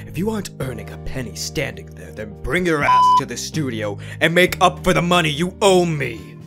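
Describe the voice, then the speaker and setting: A man's voice: on the high side, big pitch swings, moderate pace, over loud music. A man playing an angry, bullying demon boss in a cartoon dub, aggressive and threatening. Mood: furious. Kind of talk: cartoon comic dub acting.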